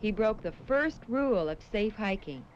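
An actress playing a reassuring superheroine who teaches children safety in an earnest, measured, didactic way in a 1970s educational film. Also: female voice, mid-range voice, expressive, close to an everyday voice. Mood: reassuring, cautionary. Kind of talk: scripted 1970s educational film acting and narration. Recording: muffled, noisy 1970s educational film soundtrack.

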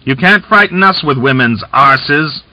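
A man's voice: mid-range voice, lively intonation, a little slow, bright, forceful tone, no echo, highs cut off, voice pushed up. A man voicing an arrogant male rebel commander who roars blustering threats.

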